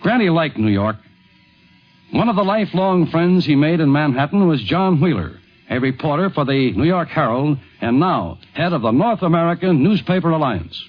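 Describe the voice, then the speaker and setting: A man's voice: fairly high pitch, animated, normal speed, faint music behind. A man narrating a scripted 1950s radio documentary. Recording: muffled vintage 1950s radio broadcast.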